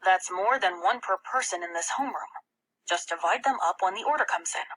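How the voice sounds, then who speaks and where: A woman's voice: on the low side, fairly quick, a bit bright. A woman voicing an indifferent, matter-of-fact male middle school teacher in a children's audiobook.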